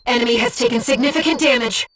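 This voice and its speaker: A woman playing a calm, devoted disembodied companion who guides a pilot in a video game, speaking in a measured, earnest, gently formal way. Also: fairly high pitch, recorded from a distance, faint music behind. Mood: urgent alert. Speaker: mission support companion. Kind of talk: scripted video game voice acting.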